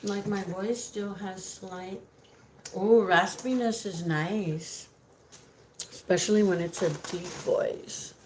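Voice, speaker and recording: A woman with a raspy voice chatting casually on a live stream, greeting viewers by name, giggling often and slipping Spanish words into her talk. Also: medium pitch, takes it slowly, a little mellow, a little hiss or hum, rough recording. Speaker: livestream host reading viewer comments.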